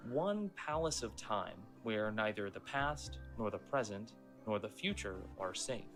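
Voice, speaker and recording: Male essay narrator, slightly deep, explanatory and deliberate, clear music bed underneath. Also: lively intonation. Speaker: narrator explaining a horror series. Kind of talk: scripted video essay narration.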